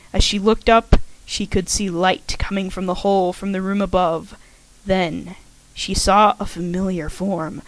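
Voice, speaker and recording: A woman reads a fan story aloud in a plain, middle-pitched voice, a little shaky at times. She is recorded dry and close on a home microphone. The delivery stays even as she narrates. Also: dry recording, poor audio. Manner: slightly shaky reading. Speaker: fanfiction reader. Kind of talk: amateur audiobook narration.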